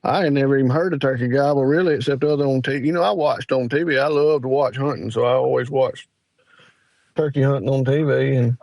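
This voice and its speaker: A man's voice: medium pitch, fairly even intonation, quick pace, vocal fry, darkish tone, dry recording. A man in his forties with a Southern US accent, a guest telling rambling, folksy stories in a casual interview. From Mississippi, USA. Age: 40s. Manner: rambling, folksy, with lots of fillers. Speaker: guest hunter.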